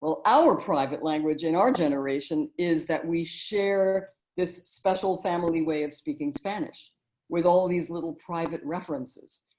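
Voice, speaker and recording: A middle-aged woman talking in a relaxed interview, telling stories and memories as the guest. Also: fairly low pitch, moderate pace, rough recording. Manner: warm, storytelling reminiscence with frequent "you know". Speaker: guest, author and narrator.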